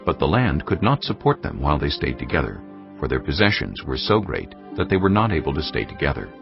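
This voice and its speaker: A man narrating scripture in a measured, grave storytelling manner. The audio is dull and lo-fi. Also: extremely low pitch, big pitch swings, vocal fry. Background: music.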